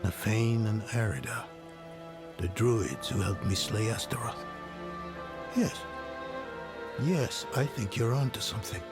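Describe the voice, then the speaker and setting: An actor playing a world-weary older nobleman and former demon slayer in a fantasy game, grave and measured. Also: lowish voice, animated, music in the background. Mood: grave, thoughtful. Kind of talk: dramatic fantasy game acting.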